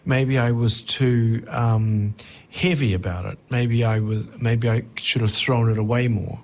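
A middle-aged man talking reflectively as an interview guest, thoughtful and halting, often rephrasing mid-sentence. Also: medium pitch, fairly even intonation. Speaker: touring stand-up comedian, interview guest.

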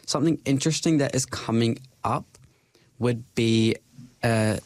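A young man with a New Zealand accent, a podcast guest talking in a relaxed, thoughtful way with frequent fillers. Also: medium pitch, measured pace, dry recording. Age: young adult. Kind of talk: casual interview chat.

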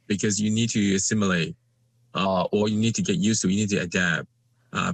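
Low-voiced man, halting with many fillers. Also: fairly bright tone, no echo. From Malaysia. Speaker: guest. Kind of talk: conversational interview.

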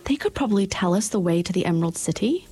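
A woman's voice: medium pitch, animated, fast, dark tone, breathy edge, faint music behind. A woman voicing an earnest, hopeful young girl in a storybook. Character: young girl lost on a journey.

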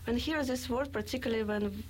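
A woman interviewer asking gentle, reflective questions in a conversational interview. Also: fairly high pitch, fairly quick.

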